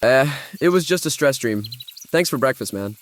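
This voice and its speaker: A man's voice: medium pitch, expressive, normal speed, a little hiss or hum, natural voice. A man acting an easygoing, slightly stressed college-age artist in an audio drama, talking casually with some hesitation. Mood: subdued, friendly. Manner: casual, hesitant slangy talk.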